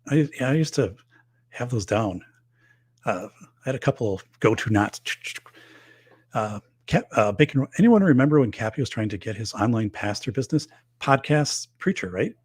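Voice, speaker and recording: A man's voice: mid-range voice, animated, moderate pace, darkish tone, husky, no echo. A middle-aged man hosting a live show, chatting casually and rambling with many fillers and restarts, losing his voice. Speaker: livestream host reading viewer comments.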